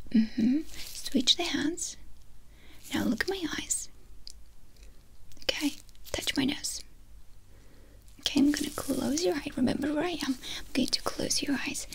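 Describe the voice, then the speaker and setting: Young woman whispering softly. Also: high-pitched. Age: young adult. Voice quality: breathy, unvoiced whisper. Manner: gentle, reassuring, softly instructing. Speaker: ASMR performer playing a doctor. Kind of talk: ASMR medical exam roleplay. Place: small indoor room dressed as a clinic. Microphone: close microphone in front of the speaker.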